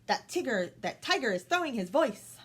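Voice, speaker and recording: A woman's voice: a little high, very animated, normal speed, slightly rough, voice pushed up. A woman voicing a spiteful, disapproving elderly royal aunt who cackles and mutters shrilly in a storybook reading. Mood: grumbling.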